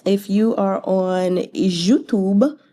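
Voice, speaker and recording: A woman's voice: medium pitch, fairly even intonation, drawn-out words, mellow, a little hiss or hum. A woman giving casual, rambling book commentary as a channel host, thinking aloud in an informal, chatty way with slangy asides.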